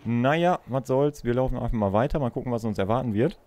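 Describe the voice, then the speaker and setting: A young adult man with an average-pitched voice. He comments on a video game casually, chatting away and thinking out loud like a let's player. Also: quick pace.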